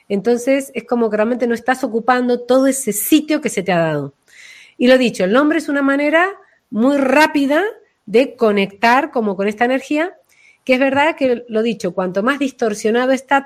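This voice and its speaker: Woman explaining her practice conversationally, expressively. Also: a little high. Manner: expressive, acts out names and quotes to illustrate points. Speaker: voice and name-energy practitioner being interviewed. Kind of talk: conversational explanation of a personal method.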